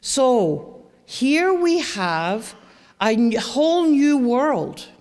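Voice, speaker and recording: A woman's voice: high-pitched, sing-song, drawn-out words, a little mellow. A woman with a Scottish accent gives a chatty educational talk to parents and often asks the audience questions. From Scotland. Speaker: presenter. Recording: long hall reverb.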